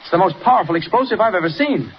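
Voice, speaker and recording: An American actor playing an earnest, quick-witted newspaper reporter hero in a 1940s radio serial, speaking with urgent assurance. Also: male voice, high-pitched, lively intonation. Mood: assured, urgent. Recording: muffled, noisy 1940s radio recording.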